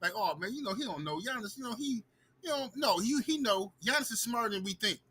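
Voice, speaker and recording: A man's voice: high-pitched, animated, fast, pushed and bright, slight background noise. A man giving his opinions on a sports stream, emphatic and calling people out directly.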